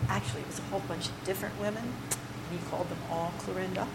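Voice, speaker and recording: A woman's voice: medium pitch, fairly even intonation, fast, creaky. Chatty woman leading a poetry workshop, noisy recording. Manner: chatty, digressive, with many fillers and restarts. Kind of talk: informal workshop talk to a group.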